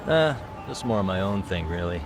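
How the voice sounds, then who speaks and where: An actor playing a calm, modest but tough male detective in a video game cutscene. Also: low voice, normal speed, noisy background. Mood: calm, understated.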